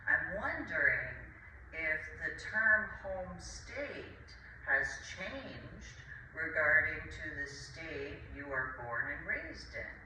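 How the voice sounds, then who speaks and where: A middle-aged American woman talks through a personal vlog in a low, very piercing, bright voice. Her delivery is halting and roundabout, with oddly formal, wordy phrasing. The recording is heavily echoey, as if the mic sits some way off in a bare room. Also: sing-song. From New Jersey, United States. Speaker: video creator. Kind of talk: rambling personal vlog monologue. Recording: roomy echo, distant mic, faint noise.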